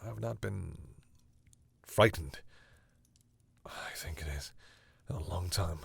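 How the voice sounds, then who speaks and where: A man playing a flustered, protective vampire who is smitten with a human, speaking haltingly and intimately to the listener in an audio roleplay. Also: low-pitched, vocal fry, husky, no echo, full character voice. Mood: tender, reassuring. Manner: halting, stammering, bashful.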